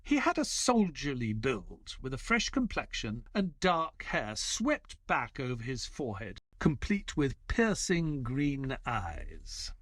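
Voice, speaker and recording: A man's voice: mid-range voice, wildly swooping pitch, slow pace, hardly any pauses, a bit bright, no room sound, clean studio sound, exaggerated voice. An actor playing a reserved former army man who is companion to a detective and narrator of an audio play. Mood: reflective.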